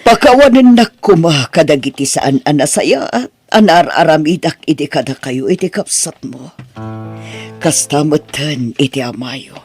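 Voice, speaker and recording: A woman with an Ilocano Filipino accent playing a remorseful middle-aged aunt in an audio drama, pleading in a halting, emphatic way. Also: low voice, expressive, darkish tone, husky, soft background music, exaggerated voice. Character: remorseful older aunt who once belittled her niece.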